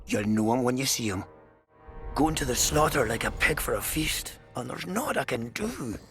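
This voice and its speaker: A man's voice: mid-range voice, lively intonation, moderate pace, close to an everyday voice. A man playing a bitter, fatalistic middle-aged soldier and father in a fantasy video game. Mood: despairing. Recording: music behind the voice.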